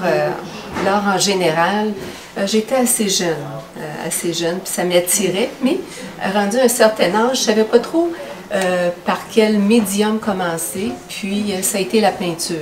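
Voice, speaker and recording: A woman's voice: medium pitch, moderate pace. Hesitant Quebec French woman painter. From Outaouais, Quebec. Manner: hesitant, with many fillers. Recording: echoey room from a distance, noise behind.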